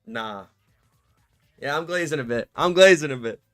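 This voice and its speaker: Excitable slangy man, slightly scratchy. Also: medium pitch, moderate pace, faint music behind. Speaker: streamer. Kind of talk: casual live-stream chat. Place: warm home room at his computer.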